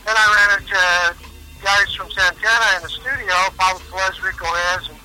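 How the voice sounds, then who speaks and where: A middle-aged man with a high, very sharp and tense voice, talking as a musician guest on a radio show. He rambles from story to story, drops names and halts on fillers. Faint music plays behind him. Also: steady tone, low-quality recording. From Germany, raised in California. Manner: rambling, name-dropping, halting with many fillers. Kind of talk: casual radio interview.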